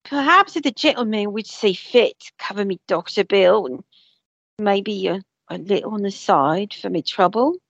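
A woman playing a rough, scheming working-class London mother in a radio play. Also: medium pitch, normal speed, no room sound, muffled recording. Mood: wheedling.

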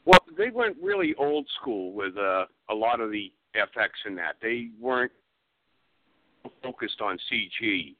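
A man's voice: fairly low pitch, huge pitch swings, a little slow, creaky, no room sound. A man chatting casually as an interview guest, explaining things from his trade in plain, informal words. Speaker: guest, effects artist. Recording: dry, narrow phone line.

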